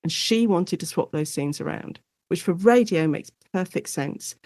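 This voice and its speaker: A woman's voice: on the low side, normal speed, dry recording. Woman, dry close sound, explaining informally. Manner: animated explainer, acts out short quotes. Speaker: guest academic, Shakespeare and radio drama specialist. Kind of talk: informal interview conversation.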